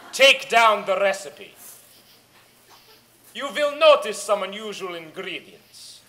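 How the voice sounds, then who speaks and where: A woman's voice: a little high, bright, forceful tone. A woman playing a tyrannical, gleefully cruel grand witch in a theatrical drama, speaking imperiously in foreign-sounding broken English. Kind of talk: theatrical villain monologue.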